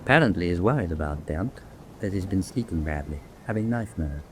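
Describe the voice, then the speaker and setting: A man's voice: low-pitched, lively intonation, a little fast. An actor playing a self-assured prison-camp medical officer in a radio drama, explaining his opinions. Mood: analytical. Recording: faint background noise.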